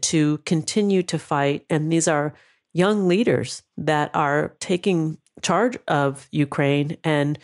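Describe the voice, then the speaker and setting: A woman hosting an interview show, speaking in an earnest, affirming, reflective way. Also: deep voice. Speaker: host. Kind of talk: interview talk.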